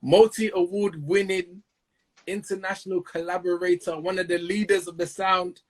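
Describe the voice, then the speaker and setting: A man hosting a live-stream interview, chatting and hyping up his audience. His voice sits a little high for a man and has a husky, breathy edge. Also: level tone, moderate pace. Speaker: interview host.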